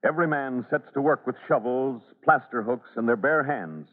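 A man's voice: medium pitch, moderate pace. A man giving scripted narration in an old-time radio drama. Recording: reverberant narrow-band old radio broadcast.

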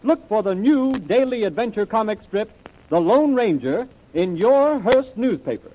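A man's voice: high voice, expressive, unhurried. A man reading a 1930s radio promotional announcement in a rousing, theatrical manner. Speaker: advertising announcer. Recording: muffled vintage radio recording.

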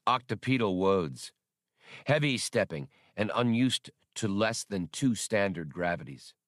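A man's voice: lowish voice, expressive, measured pace, dry recording, clean studio sound. A man reading a scripted work of fiction as an audiobook narrator.

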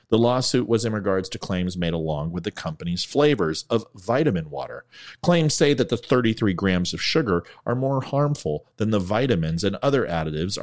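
A man reading out facts in a commentary video, adding offhand jokey asides and stumbling over foreign names. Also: mid-range voice.

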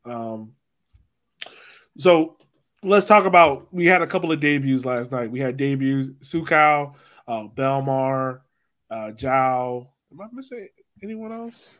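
Casting: American male podcast host, middle-register voice, relaxed everyday phrasing for casual sports talk. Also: mid-range voice, takes it slowly. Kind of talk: casual soccer discussion.

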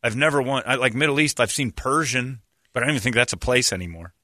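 A man co-hosting a casual comedic talk show, sarcastic and joking, now and then breaking into exaggerated high-pitched bits. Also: fast talker, bright, forceful tone, dry recording, clean studio sound. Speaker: podcast co-host.

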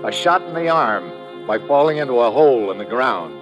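A man's voice: mid-range voice, expressive, a little fast, dull audio, loud music behind the voice, close to an everyday voice. An American man acting a tough, cynical government troubleshooter in a sardonic 1950s radio adventure style. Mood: world-weary. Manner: sardonic, hard-boiled.

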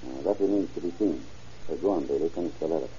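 Bossy sheriff in old radio show, talks fast, very sharp edgy tone, dull recording. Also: male voice, extremely low pitch, breathy edge, full character voice. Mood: businesslike. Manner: clipped questioning, authoritative. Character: brisk, businesslike county sheriff, probing and commanding. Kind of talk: old-time radio crime drama acting.

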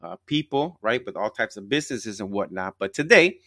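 A man's voice: lowish voice, lively intonation, brisk, sharp tone, no room sound, clean studio sound. A man hosting a live interview podcast, speaking enthusiastically and emphatically, repeating himself and checking in with tag questions. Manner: repetitive, emphatic, often tags phrases with "right?". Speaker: podcast host.

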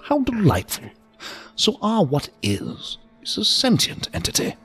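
A man's voice: sits high, wildly swooping pitch, a little slow, fairly bright tone, heavily acted. An actor in an audio drama playing an odd, courteous, philosophical old man, speaking in a formal, ornate, theatrically sing-song way. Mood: whimsical, genteel. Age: older. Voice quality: creaky, gravelly, breathy at times. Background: music.

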